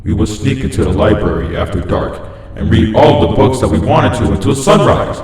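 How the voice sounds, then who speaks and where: A man's voice: fairly low pitch, big pitch swings, a little mellow, a little hiss or hum. Echo-drenched menacing living doll, voiced by a man. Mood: wounded, wistful. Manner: slow, falsely friendly, coaxing. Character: menacing living stuffed toy, calm, possessive, creepy. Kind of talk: horror fan fiction reading. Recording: heavy cavernous echo and long reverb.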